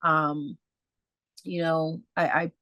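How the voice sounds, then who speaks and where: A woman speaker answering audience questions in a casual, halting way, with many fillers and restarts over a video call. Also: deep voice, level tone, bright, forceful tone, dry recording, dull audio. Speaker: guest speaker.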